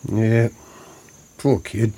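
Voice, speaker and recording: A man's voice: on the low side, steady tone, extremely slow, mellow, husky, exaggerated voice. An actor playing an elderly Australian grandfather in an audio drama, colloquial, plain-spoken, grumbling and affectionate. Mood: puzzled. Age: older. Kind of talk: naturalistic audio drama dialogue.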